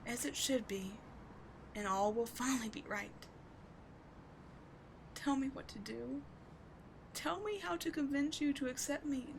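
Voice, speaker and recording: A woman playing an obsessive, devoted villain in a dramatic ASMR roleplay, speaking with theatrical intensity that moves between forceful and hushed. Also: a little high, background noise. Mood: devoted, determined, then desperate pleading. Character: obsessive, devoted female villain wooing a wounded sidekick.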